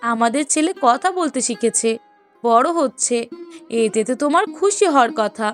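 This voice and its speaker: A woman voicing a proud, outspoken young wife and mother in a moral-story cartoon, with emphatic, expressive delivery. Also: on the high side, normal speed, soft background music, lightly acted. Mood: indignant.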